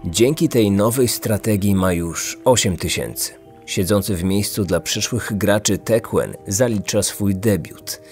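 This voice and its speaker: A man's voice: on the low side, measured pace, a little mellow, faint music behind. A man narrating a scripted true-crime story as a podcast host.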